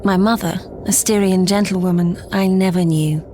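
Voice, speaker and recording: A woman playing a sheltered, wistful young gentlewoman in a gothic audio drama, speaking in a measured, literary way. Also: mid-range voice, steady tone, a little hiss or hum, lightly acted. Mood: wistful, haunted. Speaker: lead actress and narrator. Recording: audio drama with clear background ambience.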